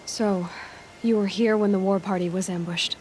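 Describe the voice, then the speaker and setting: A woman's voice: mid-range voice, fairly even intonation, moderate pace, a little mellow, a little hiss or hum, close to an everyday voice, poor audio. An actress playing a capable young female hunter in a video game cutscene, direct, sympathetic and understated. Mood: direct, concerned.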